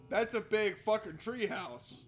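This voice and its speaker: A man's voice: high-pitched, unhurried, a bit bright. A man doing let's play commentary on a visual novel, reading narration aloud and heckling it in a sarcastic, exasperated, sweary way. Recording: music behind the voice. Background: game music.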